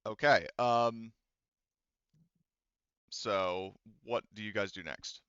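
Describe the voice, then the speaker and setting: Low, smooth-voiced man, halting game master. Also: fairly bright tone, no room sound, lo-fi sound. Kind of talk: running a tabletop roleplaying game, casual improvised talk.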